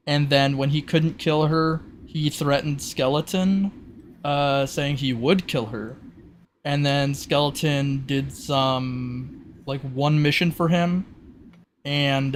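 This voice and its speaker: A man's voice: a little high, slight background noise. A man running a tabletop roleplay as game master, explaining rules and backstory in a loose, informal way. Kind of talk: casual improvised tabletop game narration.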